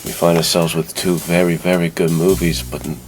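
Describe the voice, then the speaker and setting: A man's voice: sits low, flat delivery, brisk, music in the background. A man co-hosting a podcast, giving emphatic opinions in casual, informal conversation. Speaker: podcast co-host. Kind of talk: casual film discussion.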